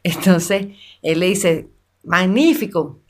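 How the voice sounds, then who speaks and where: A woman, casually telling anecdotes as an animated storyteller who acts out quotes. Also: on the low side, takes it slowly.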